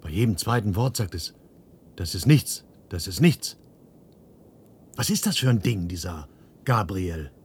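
Blunt skeptic, deep voice, questioning, radio-play acting, slight rumbling noise. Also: male voice, expressive, measured pace, pauses often, a little mellow. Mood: baffled. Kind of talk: German science-fiction radio drama acting.

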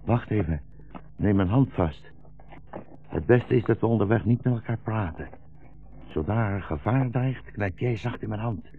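An actor playing a weary, gentle old blind rabbi in a 1960s radio drama, speaking slowly and solemnly as a philosophical storyteller. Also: male voice, fairly low pitch, vocal fry. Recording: dull, roomy 1960s radio recording.

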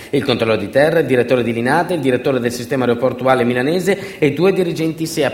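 A man performing a theatrical narrative monologue, emphatic and dramatic, with deliberate repetitions and pauses. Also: lowish voice. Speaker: stage actor and storyteller. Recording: echoey room.

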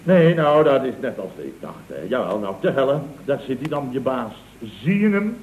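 A man's voice: medium pitch, big pitch swings, moderate pace, close to an everyday voice. A man playing a scheming, self-pitying boss of a building firm in a radio comedy drama, theatrical and wheedling. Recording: dull old radio recording with hiss.

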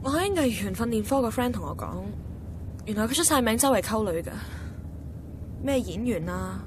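A woman's voice: a little high, lively intonation, normal speed, background noise, lightly acted. Actress as petulant heartbroken tipsy Hong Kong woman. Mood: hurt, bitter. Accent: Hong Kong Cantonese. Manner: petulant, emotional. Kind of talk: radio drama acting. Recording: music behind the dialogue.

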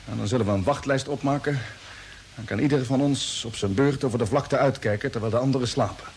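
An actor playing a thoughtful, decisive space expedition commander in a radio drama. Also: male voice, mid-range voice, close to an everyday voice. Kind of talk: 1950s radio drama acting. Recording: old radio recording, faint hiss.

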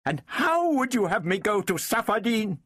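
A man's voice: high-pitched, sing-song, unhurried, husky, dry recording, studio quality, pitched above the performer's own voice, full character voice. A man voicing a furious, defiant young princess in a dramatised storybook reading, impassioned and scornful. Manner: impassioned, scornful, crackling with emotion.

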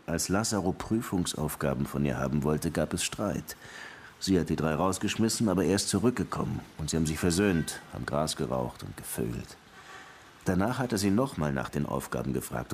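A man's voice: low voice, slight background noise. A man playing a weary, cynical police detective in a German radio crime drama, terse and resigned.

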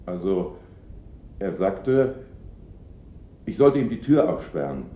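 A man's voice: sits low, sing-song, takes it slowly, echoey room, phone-quality sound, exaggerated voice. An actor playing an uneasy, evasive working man giving hesitant witness answers in a German radio play. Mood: guarded.

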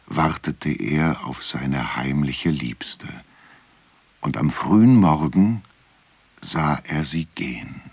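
A man narrating a village legend in a radio play as a measured storyteller with a husky voice. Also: extremely low pitch, no echo, full character voice. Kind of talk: scripted literary tale narration.

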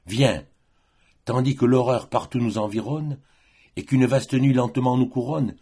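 A solemn lover from an epic poem, recited by a man, speaks tenderly to his beloved. The voice is husky and unhurried, with a declamatory, protective tone. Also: medium pitch, fairly even intonation, warm tone, voice pushed up. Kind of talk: poetic recitation.

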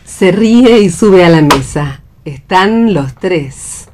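A woman's voice: on the low side, lively intonation, slow pace, noisy background. A woman reading stage directions as the narrator of a radio play.

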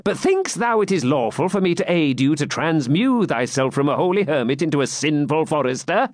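Man doing a cheeky, high-pitched jester. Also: expressive, fairly quick, dry recording, clean studio sound, lightly acted. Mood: mock-solemn. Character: wry, cheeky male jester. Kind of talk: audiobook character voice.

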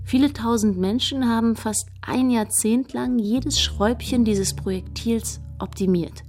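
A woman's voice: a little high, a little slow, a little mellow, soft background music. A woman reading scripted, documentary-like narration in a radio play, matter-of-fact with a wry edge.

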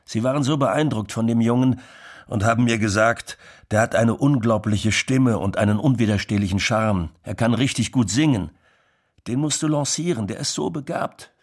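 An actor playing a music producer who recalls a singer's rise matter-of-factly in a radio drama monologue. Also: male voice, mid-range voice, moderate pace, natural voice.

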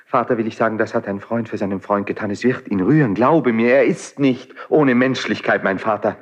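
An actor playing a passionate, defiant young Spanish prince stricken with grief, declaiming classical verse in a 1970s German radio drama. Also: male voice, on the low side, fairly quick, natural voice. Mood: urgent, hopeful. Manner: declamatory, impassioned. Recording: dull 1970s radio studio recording.

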